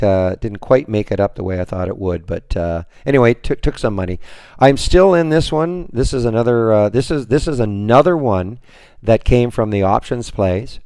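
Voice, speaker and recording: A hoarse man moderating a trading room, talking through his trades on a screen share in a rambling way, full of restarts and fillers. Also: medium pitch, darkish tone, dry recording.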